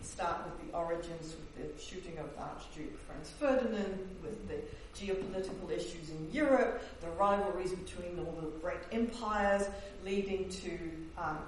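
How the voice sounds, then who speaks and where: Woman academic giving a hesitant book talk, heard from a distance in a very echoey hall. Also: lowish voice. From Netherlands, raised in New Zealand. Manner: hesitant, many fillers and restarts. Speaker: historian presenting her book. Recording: echoey hall, distant mic, faint hiss.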